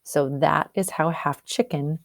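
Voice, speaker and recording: Lady teacher reading a kids book, slightly low voice, explains stuff and asks questions, really dry sound. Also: measured pace, creaky, no room sound. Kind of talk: storybook read-aloud with teaching questions.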